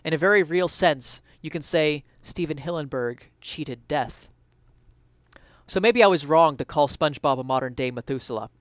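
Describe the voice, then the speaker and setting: A man reading an essay aloud as a dramatic reading, with earnest, theatrical, deliberate phrasing, recorded close to the mic. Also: fairly high pitch, no echo. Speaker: reader of an essay.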